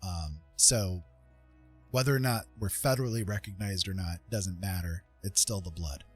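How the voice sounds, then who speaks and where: A middle-aged man with an American accent talks in a fairly low voice over faint music. He is chatting on a livestream in a reflective, casual way. His delivery is earnest and emphatic, with halting pauses as he thinks. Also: breathy edge. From Indiana, United States. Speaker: livestream host.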